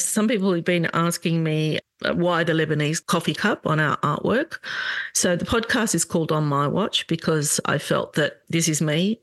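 A middle-aged woman hosting a solo commentary podcast, talking in an earnest, indignant way with halting restarts. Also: deep voice, no echo. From Australia. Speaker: podcast host.

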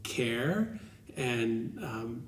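A man's voice: medium pitch, lively intonation, drawn-out words, husky. A man hosting a podcast, moving between scripted introduction and casual conversation. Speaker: podcast host.

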